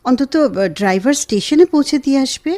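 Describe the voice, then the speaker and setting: A woman acting a gentle, grateful, affectionate wife who is convalescing, in a Bengali radio drama. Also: high-pitched, animated, a little fast, dry recording. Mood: courteous, insistent.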